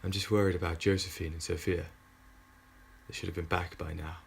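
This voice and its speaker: A man playing an anxious, forceful leader and husband in an amateur audio drama. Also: sits low, little pitch movement, fairly quick, creaky, slightly rough, full character voice.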